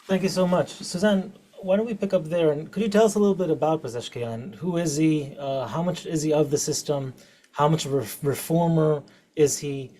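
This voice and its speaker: A man's voice: a little high, a little fast, dark tone. A man moderating a panel, asking a guest friendly questions.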